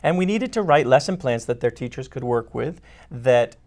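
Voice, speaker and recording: A man from Vermont, an author being interviewed, who speaks in a measured, storytelling way. His voice has a strong gravelly fry and sits at a middle pitch. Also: expressive, darkish tone. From Vermont, United States. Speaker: children's book author. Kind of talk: casual interview chat.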